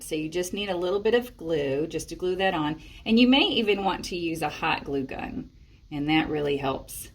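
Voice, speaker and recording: A woman's voice: fairly low pitch, a bit bright. A woman presenting a library storytime, reading and explaining crafts expressively for young children. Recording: echoey room, distant microphone.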